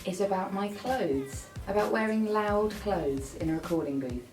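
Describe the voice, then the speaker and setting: A woman's voice: medium pitch, expressive, moderate pace, a little mellow, distant mic. A woman from East London speaking earnestly about her audition. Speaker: audition finalist. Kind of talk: casual interview. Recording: roomy, off-mic, faint music.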